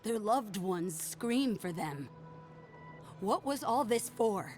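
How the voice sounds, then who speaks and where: A bitter, accusing woman of a fantasy game, in a mid-pitched voice. She is solemn, grieving and unforgiving in every phrase. Faint music runs underneath. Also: lively intonation, slow, a little mellow. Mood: bitter grief. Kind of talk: dramatic game cutscene acting.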